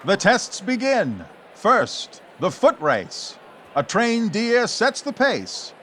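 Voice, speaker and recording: Guy doing old timey radio serial narration, pretty high voice, super animated and dramatic, bit of background noise. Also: slow pace. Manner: theatrical, suspenseful.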